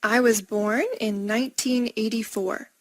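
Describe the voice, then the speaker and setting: A woman's voice: a little high, fairly even intonation, measured pace, no room sound. A woman in her thirties chatting in a simple question-and-answer conversation. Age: 30s.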